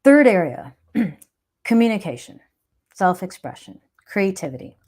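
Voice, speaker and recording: A woman's voice: medium pitch, sing-song, a little slow, darkish tone. A woman hosting a live chat, talking earnestly and reflectively about self-doubt and growth, often checking in with a "right?". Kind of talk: motivational live stream talk.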